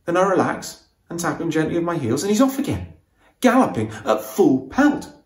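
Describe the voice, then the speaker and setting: A man's voice: a little high, normal speed. Man narrating adventure dramatically in an echoey room. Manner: expressive, suspenseful storytelling. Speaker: author reading own novel.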